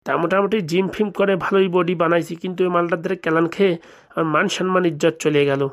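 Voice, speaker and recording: A woman's voice: on the low side, steady tone, fast talker, close to an everyday voice. A woman voicing a boastful but cowardly village boy who grumbles colloquially in a comic cartoon. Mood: grumbling.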